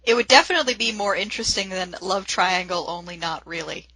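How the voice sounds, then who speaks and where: A woman's voice: fairly low pitch, quick pace, sharp tone, a little hiss or hum, poor audio. A woman podcast host in casual discussion, playful and given to hyperbole, close on the microphone.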